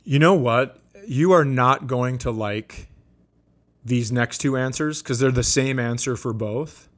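Male comedian podcaster, medium pitch, dry and sardonic casual chat, freely profane. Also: unhurried.

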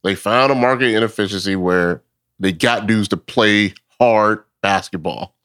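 A man's voice: on the low side, a little slow, a bit bright, clean tone, no room sound, clean studio sound. A man speaking as a podcast guest in casual sports talk, laid-back and slangy, often laughing between phrases.

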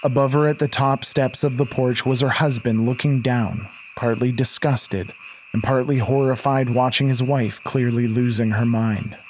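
A man with a medium-pitched voice narrates a horror audio drama. His delivery is measured, literary and intense, over faint background ambience. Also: steady tone, darkish tone, a little hiss or hum, low-quality recording. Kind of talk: scripted dramatic horror storytelling.

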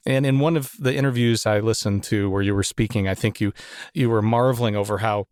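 A man hosting a podcast, reading a measured, formal introduction and then asking his guest questions. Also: fairly low pitch, steady tone, a little mellow, smooth, dry recording, studio quality. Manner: measured, formal announcer delivery. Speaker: podcast host. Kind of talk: scripted show intro and interview questions.

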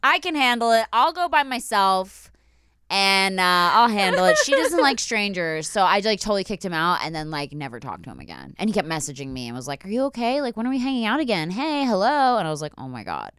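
A young woman with a deep, gruff voice hosting a podcast, telling stories in casual chat and acting out quoted voices. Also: fairly quick, dry recording, studio quality. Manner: animated storytelling, acts out quotes in put-on voices. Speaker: podcast host.

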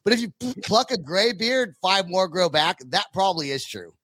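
A man's voice: sits high, animated, normal speed, a bit bright, no room sound. A man in his late thirties from California hosting a podcast with brash, casual, sweary bro talk. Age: late 30s. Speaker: podcast host. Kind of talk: casual chat.

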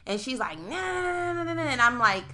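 A woman's voice: a little high, fairly quick, slight background noise. A young woman co-hosting a podcast, telling a work story in casual chat with a strong voice, acting out both sides of a phone call. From United States. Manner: animated storyteller, acts out phone calls. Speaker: podcast co-host.

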